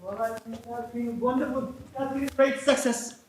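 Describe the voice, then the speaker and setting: Guy acting as lovestruck cheeky young gent, really high voice, echoey stage play. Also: steady tone, brisk, slight background noise. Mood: teasing, flippant. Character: flippant, lovestruck young gentleman wooing a girl. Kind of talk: amateur stage comedy acting. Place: indoor theatre stage.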